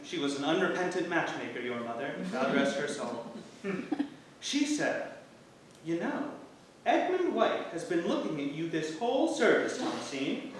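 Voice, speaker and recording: A man acting a devoted, gently playful husband from early modern England in a staged play reading, earnest and tender in delivery. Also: a little high, sing-song, moderate pace. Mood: fond, nostalgic. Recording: roomy theatre, distant mic, faint noise.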